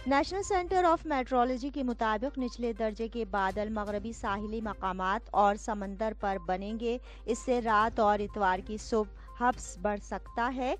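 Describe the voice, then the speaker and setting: A woman's voice: a little high, takes it slowly, hardly any pauses, faint music behind. A woman presenting a scripted news bulletin in a formal, declarative newsreading manner. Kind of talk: scripted news bulletin narration in Urdu register.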